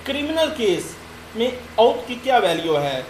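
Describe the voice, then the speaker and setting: A woman with a Pakistani accent giving a law lecture, reading notes aloud deliberately and explaining them by restating. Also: on the high side, very animated. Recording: roomy echo, loud background noise.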